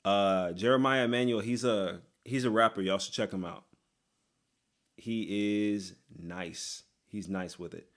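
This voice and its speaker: A young man from Texas hosting a casual Q&A, reading comments with quick, sarcastic asides. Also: lowish voice, steady tone. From Texas, USA. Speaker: YouTuber host.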